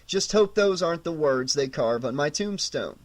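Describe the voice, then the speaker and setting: A man's voice: fairly high pitch, lively intonation, normal speed, dry recording, close to an everyday voice. A man voicing a harried young male store clerk who talks wary but tries to stay firm.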